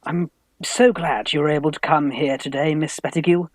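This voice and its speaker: An actor playing an earnest, anxious young gentleman in love, polite, in a Victorian radio farce. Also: fairly high pitch, fairly even intonation, moderate pace, no room sound, lightly acted. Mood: earnest courting. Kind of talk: radio play farce acting.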